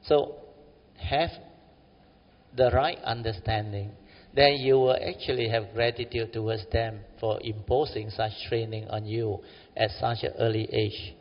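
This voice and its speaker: A man's voice: lowish voice, fairly even intonation, unhurried, big-room sound, muffled recording. A man with a Malaysian English accent giving a Buddhist dharma talk in a meditation center, colloquial and exhorting, often tagging "huh?" onto his sentences. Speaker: Buddhist teacher.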